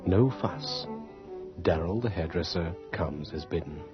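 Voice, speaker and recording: An actor in a radio drama playing a polite, hesitant gentleman who serves a noblewoman and speaks with deference. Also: low-pitched, unhurried, loud music behind the voice. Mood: calm, formal. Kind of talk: radio drama acting and narration. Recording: dull 1980s radio drama recording.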